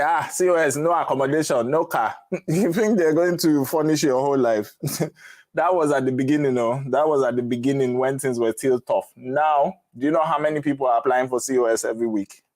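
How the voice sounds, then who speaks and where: A man's voice: medium pitch, a little fast, darkish tone, no echo. A middle-aged man with a Nigerian accent, chatting frankly and casually as he hosts a live advice session and tells stories. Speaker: host of a live Q&A on moving to the UK.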